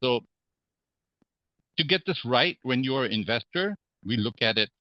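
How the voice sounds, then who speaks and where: A man from Hong Kong, a podcast guest, speaking in a measured way with many fillers and restarts. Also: medium pitch, expressive, no echo, highs cut off. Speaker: guest real estate investor. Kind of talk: podcast interview.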